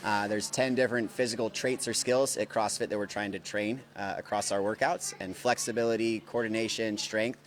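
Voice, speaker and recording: A man's voice: on the low side, fairly even intonation, a little fast, few pauses. A man speaking as a fitness coach, explaining things informally and instructively in a live interview. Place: gym, on location. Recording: faint noise of a gym.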